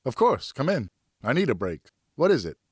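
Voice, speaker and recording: A man's voice: medium pitch, big pitch swings, normal speed, darkish tone, breathy edge, dry recording, clean studio sound, voice pushed up. Man acting a hearty, fond nobleman father. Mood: delighted. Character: warm, indulgent father, French nobleman of the 1780s.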